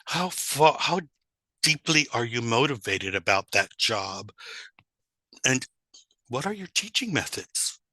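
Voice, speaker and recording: A man giving an informal online lecture in a deliberate, explanatory way with rhetorical questions. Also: medium pitch, very animated, pushed and bright, dry recording. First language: English, also Spanish and German. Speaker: teacher trainer presenting.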